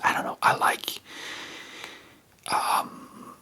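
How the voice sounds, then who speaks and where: A man's voice: drawn-out words, pushed and bright. Man whispering rambling ASMR chat. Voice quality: breathy unvoiced whisper. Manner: rambling, hesitant, spontaneous. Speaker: ASMR video host.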